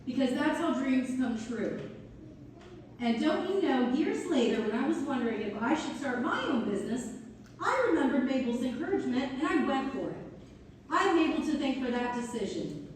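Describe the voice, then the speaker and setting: High, animated actress as grateful townswoman. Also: moderate pace. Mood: earnest, grateful. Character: grateful, earnest townswoman who runs a business. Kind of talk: community theater monologue. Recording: echoey hall from far off, faint noise.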